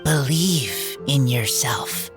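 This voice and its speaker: A woman voicing an earnest, reserved young boy in a video game, speaking in terse, halting phrases. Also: a bass voice, lively intonation, over music, heavily acted. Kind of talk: video game character dialogue.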